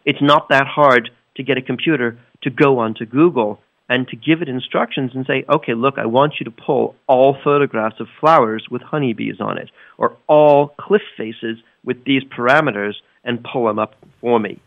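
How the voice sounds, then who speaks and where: A man explaining research as an interview guest, talking in an animated, conversational way and acting out the reasoning. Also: mid-range voice, moderate pace, creaky, hardly any pauses, no echo. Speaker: science correspondent guest. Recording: narrow, slightly dull radio-studio sound.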